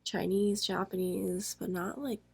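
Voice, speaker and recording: A woman from the United States chatting casually as co-host of a reaction video. She talks in loose, friendly asides, stretching some words and then rushing through others, at an everyday middle pitch. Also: level tone, vocal fry, darkish tone, husky. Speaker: co-host reacting with her husband. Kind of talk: casual chat.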